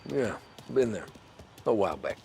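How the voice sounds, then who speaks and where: An actor playing a world-weary, guarded American mob wheelman in a crime game, speaking tersely in dry street slang with a gravelly voice. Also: lowish voice, big pitch swings, normal speed, darkish tone, slight background noise. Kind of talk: cinematic crime drama acting.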